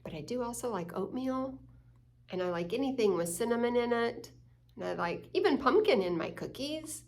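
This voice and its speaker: A woman's voice: fairly high pitch, expressive, normal speed, darkish tone. A woman hosting a children's story time, reading a picture book aloud and explaining it with questions to the young listeners.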